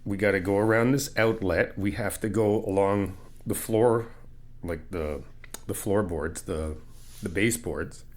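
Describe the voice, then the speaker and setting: A man's voice: lowish voice, unhurried. Encouraging man in an ASMR roleplay. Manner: encouraging and playful, laughs often; calm step-by-step explaining. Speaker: ASMR roleplay creator playing a friend. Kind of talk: ASMR friend roleplay, talking to the listener.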